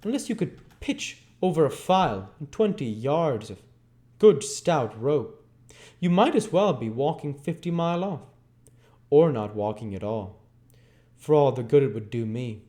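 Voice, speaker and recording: A man voicing a gruff, scornful London robber in a storybook reading, blunt, loud and impatient. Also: medium pitch, animated, measured pace, voice pushed up, close to an everyday voice.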